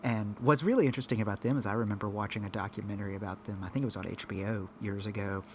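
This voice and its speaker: A man's voice: fairly low pitch, steady tone, racing, a little hiss or hum. A man co-hosting a podcast, talking casually and rambling through his points with frequent restarts as he thinks aloud. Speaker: podcast co-host.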